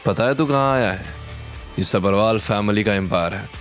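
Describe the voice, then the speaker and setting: A man's voice: on the low side, normal speed, soft background music, natural voice. A man voicing a cocky male security guard in an audio drama, mocking and jeering.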